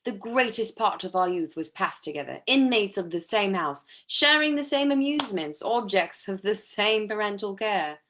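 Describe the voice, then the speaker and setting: A woman playing a charming, aggrieved young gentleman in a staged period drama reading, confiding and theatrical. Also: mid-range voice, expressive, normal speed, few pauses, slight background noise, natural voice. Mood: wistful, bitter. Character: Mister Wickham, charming aggrieved young gentleman, smoothly self-pitying.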